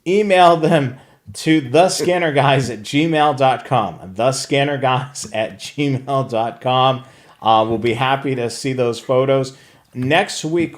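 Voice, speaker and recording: A man co-hosting a live hobby show, talking casually through products and services for viewers. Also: mid-range voice. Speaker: co-host of a live radio scanner show.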